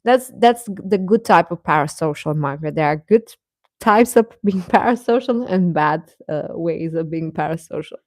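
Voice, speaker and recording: A woman's voice: fairly low pitch, animated, moderate pace, no room sound, clean studio sound. A woman hosting a livestream, chatting casually and playfully with her viewers. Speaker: livestream host. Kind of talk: casual chat with live viewers.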